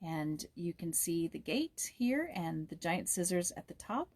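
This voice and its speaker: Woman reading a storybook aloud, measured and expressive. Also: on the low side. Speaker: narrator reading a children's book aloud. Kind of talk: storybook read-aloud with informal introduction.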